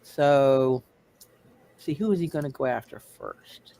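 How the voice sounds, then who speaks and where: A man running a tabletop roleplay game, halting and often repeating his phrases. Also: mid-range voice, gravelly, dark tone. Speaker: game master.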